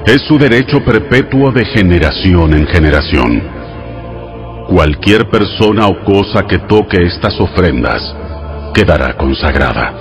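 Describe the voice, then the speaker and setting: An actor playing a solemn, commanding God who gives laws in a measured, instructive way in a dramatized audio Bible. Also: male voice, low voice, very animated, muffled recording, heavily acted. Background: music.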